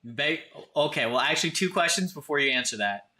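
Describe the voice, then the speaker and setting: A man's voice: on the high side, lively intonation, brisk, bright, forceful tone. A man in his thirties hosting a casual livestream, talking informally and directly to his viewers. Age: 30s. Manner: informal, conversational, addresses chatters directly. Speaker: live streamer, host.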